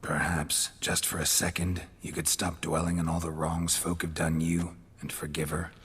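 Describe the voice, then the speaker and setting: A man playing a stoic, world-weary monster hunter in a video game, with a raspy voice and a terse, deadpan delivery. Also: very deep voice, normal speed, big-room sound, slight background noise. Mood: earnest persuasion. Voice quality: gravelly, raspy. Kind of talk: video game cutscene acting.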